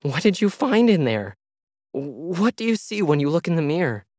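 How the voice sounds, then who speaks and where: Man voicing an urgent, determined woman worker, pitched up. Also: big pitch swings, moderate pace, a little mellow, no echo, clean studio sound. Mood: pleading. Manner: urgent, insistent.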